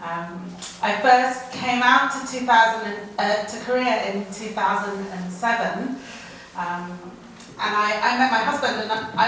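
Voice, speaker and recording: Loud confident lady on a panel, distant mic, boomy room. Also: slight background noise. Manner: loud, self-assured. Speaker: chamber of commerce director. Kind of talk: panel self-introduction. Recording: echoey hall mic, far off.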